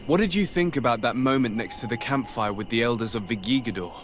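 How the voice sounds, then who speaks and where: A man acting a polite, empathetic diplomat hero in a video game, speaking in a calm, courteous way. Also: mid-range voice, fast talker, faint music behind, close to an everyday voice. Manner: courteous, even-tempered.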